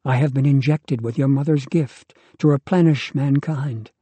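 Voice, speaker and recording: A man voicing a devoted young woman, reading her lines in an earnest, measured way. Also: medium pitch, warm tone, slightly rough, no echo, natural voice.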